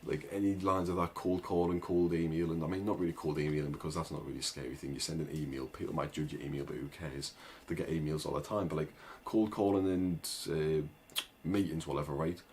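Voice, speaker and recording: A man's voice: extremely low pitch, fairly even intonation, fairly quick, hardly any pauses, a little mellow, slight background noise. A young man with a thick northern English accent, giving rambling casual advice with lots of "like" and "right?". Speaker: agency owner vlogger. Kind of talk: casual advice talk to camera.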